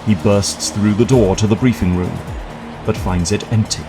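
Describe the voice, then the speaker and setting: A man's voice: fairly low pitch, steady tone, normal speed, over music. A man reading scripted, dramatic scene narration for an audio drama.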